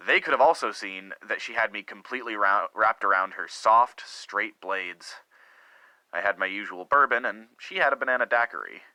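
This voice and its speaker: Man acting a sharp-voiced, lovesick, guilt-ridden husband. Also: fairly low pitch, no room sound, heavily acted, rough recording. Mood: wistful, tormented. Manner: earnest, theatrical, with dramatic pauses and swells. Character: lovesick, guilt-ridden middle-aged husband confessing a torrid affair. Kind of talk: melodramatic dramatic reading of a first-person confession.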